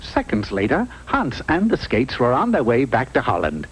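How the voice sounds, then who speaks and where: A man's voice: medium pitch, wildly swooping pitch, normal speed. A man playing a wry, mock-solemn storyteller narrating a comic cartoon fairy tale. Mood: storytelling. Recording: noisy old unrestored TV cartoon audio.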